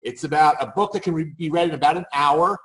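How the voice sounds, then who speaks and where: A man's voice: a little high, quick pace, fairly bright tone, low-quality recording. A man on a marketing panel explaining things casually, often restarting and correcting himself mid-sentence. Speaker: marketing expert on a panel call.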